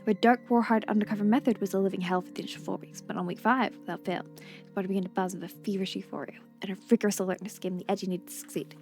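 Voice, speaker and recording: A woman voicing an excitable pulp spy-book narrator, reading aloud dramatically from a video game. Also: mid-range voice, rapid-fire, lightly acted. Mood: dramatic. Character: excitable announcer of a pulpy spy book club. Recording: game music behind the voice.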